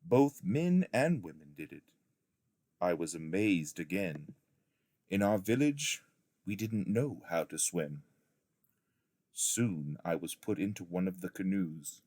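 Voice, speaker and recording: A man reading a storybook memoir aloud as a narrator, in a measured, deliberate storytelling manner. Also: low voice, a little mellow, no echo. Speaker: audiobook reader, schoolteacher reading aloud.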